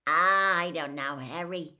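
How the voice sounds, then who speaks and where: A woman voicing a huge, kindly, rough-spoken man in a storybook reading, with folksy, homely dialect speech. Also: on the low side, sing-song, measured pace, sharp tone, exaggerated voice. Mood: worried.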